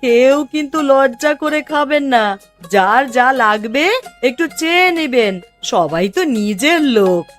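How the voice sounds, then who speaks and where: A woman playing a polite, deferential married village neighbour in a moral-story cartoon, with an expressive delivery. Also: high-pitched, takes it slowly, soft background music. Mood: hospitable.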